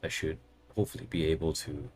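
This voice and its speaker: Male streamer, very low and coarse, hoarse from a cough, friendly chat with viewers. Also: steady tone, fast talker, slight background noise. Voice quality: coarse, strained voice. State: hoarse, losing his voice from a cough. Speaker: game streamer.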